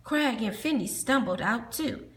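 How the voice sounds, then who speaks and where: A woman reading a children's storybook aloud, pausing to ask the listener questions. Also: on the high side, lively intonation. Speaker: storybook reader.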